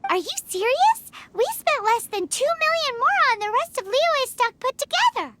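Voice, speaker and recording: A woman's voice: very high-pitched, big pitch swings, moderate pace, fairly bright tone, a little hiss or hum, heavily acted. A woman voicing a small, childlike, blunt companion character in a video game. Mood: indignant.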